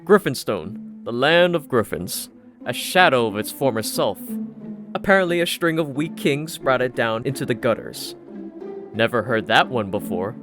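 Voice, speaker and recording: A man's voice: medium pitch, lively intonation, normal speed, fairly bright tone, faint music behind. A man narrating a first-person story from a script in a dry, sardonic manner. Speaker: audiobook narrator.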